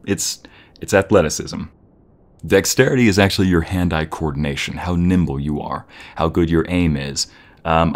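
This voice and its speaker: Low-voiced, playful boyfriend, acted by a man. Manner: playful, teasing, chuckling, rambling. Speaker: audio roleplay narrator. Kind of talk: casual, intimate boyfriend roleplay.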